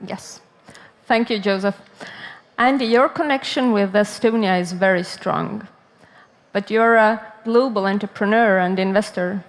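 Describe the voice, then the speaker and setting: A woman moderating a conference panel, speaking in a hesitant, halting way. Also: medium pitch. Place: conference stage.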